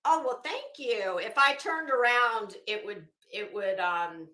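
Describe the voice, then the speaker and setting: A woman's voice: medium pitch, animated, slow, sharp tone. A middle-aged woman chatting casually as a livestream host, greeting viewers with teasing, salty banter. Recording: echoey room.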